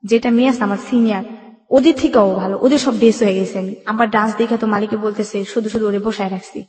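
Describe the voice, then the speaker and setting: Female, Bangladeshi accent, interview guest recounting life story colloquially, quick delivery, reverberant sound. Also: medium pitch, steady tone, a little mellow. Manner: colloquial, acts out remembered dialogue. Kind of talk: personal storytelling in a radio interview. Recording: echoey room with long reverb.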